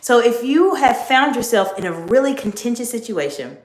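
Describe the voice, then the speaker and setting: A middle-aged woman coaching viewers on leadership in a live stream, speaking in a measured, emphatic teaching tone. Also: fairly high pitch, expressive. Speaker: executive coach. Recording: echoey room.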